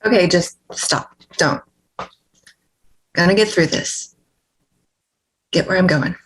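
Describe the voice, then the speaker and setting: A woman's voice: on the low side, takes it slowly, a bit bright, slight background noise. A woman playing a determined, world-weary prison runaway in a screenplay table read, terse and guarded.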